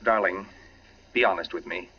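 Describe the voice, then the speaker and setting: An actor playing an uneasy, inquisitive young husband who forces a casual tone, in a 1940s radio drama on a dull old recording. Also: male voice, deep voice, moderate pace, slightly rough. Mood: uneasy probing.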